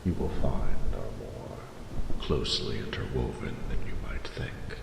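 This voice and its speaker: Extremely deep, gravelly dragon. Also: male voice, lively intonation, exaggerated voice. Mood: solemn, reverent. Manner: slow, stately, formal phrasing. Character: ancient, noble male dragon, tender and solemn. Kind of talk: scripted romantic audio roleplay. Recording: reverberant, echoing, noisy ambient bed.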